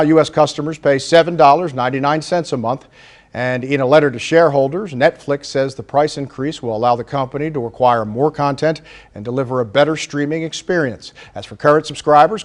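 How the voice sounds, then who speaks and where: An American man reading a scripted local television newscast as the anchor. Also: a little high. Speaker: TV news anchor. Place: TV news studio.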